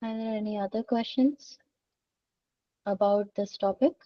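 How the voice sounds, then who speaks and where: A woman's voice: medium pitch, steady tone, warm tone, no echo, recorded from a distance, muffled recording. A young woman from India presenting arrival tips in a webinar, friendly and informal with frequent fillers. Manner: friendly, informal, slightly halting. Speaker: student association organizer.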